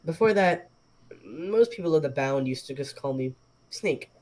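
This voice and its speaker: A man voicing a calm, wise male snake that talks slowly and matter-of-factly. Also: a little high, a little hiss or hum, close to an everyday voice, poor audio. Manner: slow, measured, matter-of-fact.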